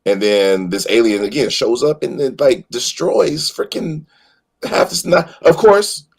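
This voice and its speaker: A man in an animated, casual fan discussion, repeating phrases for emphasis and stumbling over his words as he goes. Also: mid-range voice, unhurried, vocal fry, breathy edge.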